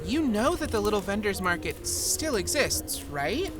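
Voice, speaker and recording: Woman as an upbeat, animated helpful shopper, music behind. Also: medium pitch, a little slow. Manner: enthusiastic, explanatory. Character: upbeat, helpful female shopper in a fantasy market. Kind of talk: scripted comic podcast ad.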